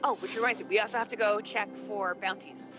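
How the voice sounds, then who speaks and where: A woman's voice: mid-range voice, fairly even intonation, fast talker, sharp tone. A woman playing along on a game livestream, bantering casually and playfully. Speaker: livestream co-player. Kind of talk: casual banter while gaming. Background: game music.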